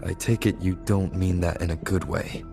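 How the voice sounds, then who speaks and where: Low-voiced, calm young adventurer. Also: male voice, moderate pace, gravelly, music in the background. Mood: calm, curious. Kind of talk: scripted video game dialogue.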